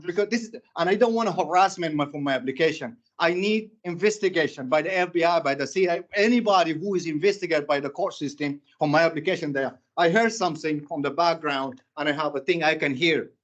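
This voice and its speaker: Impassioned Sudanese-accented man, slightly high. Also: dull audio. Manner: impassioned, halting, with many restarts and repetitions. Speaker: public commenter. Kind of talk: public comment at a board meeting.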